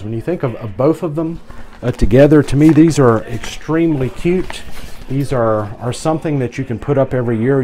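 Chatty middle-aged man, mellow voice. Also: mid-range voice. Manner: chatty, rambling, with fillers and restarts. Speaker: travel vlogger. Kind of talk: casual product review. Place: atrium balcony of a large cruise ship, indoors. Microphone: camera held close at arm's length.

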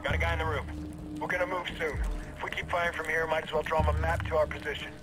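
A man playing a brisk special forces operator. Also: fairly high pitch, little pitch movement, over music, natural voice, rough recording. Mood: tense. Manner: brisk tactical radio talk. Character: male special forces operator calling positions. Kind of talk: video game combat dialogue. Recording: game audio over loud gunfire.

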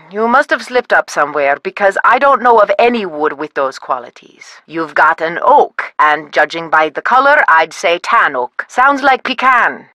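A patient wood expert. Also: female voice, mid-range voice, pushed and bright, no echo. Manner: patient, explanatory, polite. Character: helpful female wood expert on the phone.

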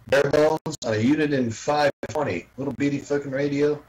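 A man's voice: a little high, moderate pace, a little mellow, a little hiss or hum, rough recording. A man chatting informally on an online group call as a radio hobbyist, rambling and repeating himself. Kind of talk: casual chat.

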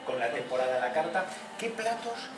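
A man's voice: fairly high pitch, sing-song, a little fast. A man in his forties hosting an interview in a restaurant dining room, enthusiastic and full of fillers. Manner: warm, enthusiastic, with many fillers. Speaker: host. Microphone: far camera mic. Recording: echoey room from far off, with music behind.

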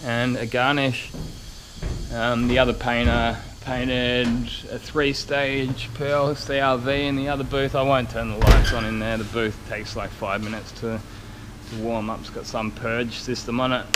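A man's voice: mid-range voice, flat delivery, unhurried. A man in his thirties giving a casual, rambling, blokey walkaround vlog as a car painter, recorded on a handheld camera in a large workshop. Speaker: car spray painter and YouTube vlogger. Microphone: action camera at arm's length. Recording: clear workshop noise, handheld action camera.

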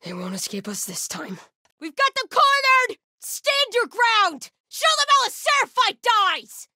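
A grim, zealous woman soldier of a religious cult for a video game. Her voice is high and bright, with a stern, solemn edge, recorded dry and close. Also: sing-song, a little slow, no room sound, full character voice. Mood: urgent, shouting. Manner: solemn, stern, swinging from hushed muttering to shouted alarms. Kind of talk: video game combat voice lines.

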